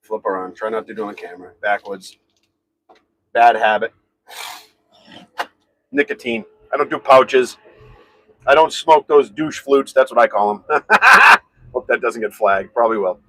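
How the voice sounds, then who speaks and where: Loud-voiced man chatting on a live stream. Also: medium pitch, very animated, unhurried, long pauses, no echo, low-quality recording. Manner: rambling, chatty, reads and answers viewer comments. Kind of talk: casual live stream chat. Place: home garage.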